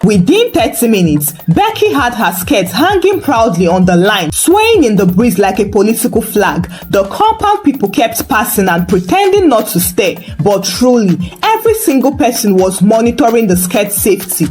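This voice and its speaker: A woman narrating a scripted folktale in an expressive, dramatic storytelling manner. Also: a little high, moderate pace, few pauses, faint music behind. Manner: dramatic, animated delivery.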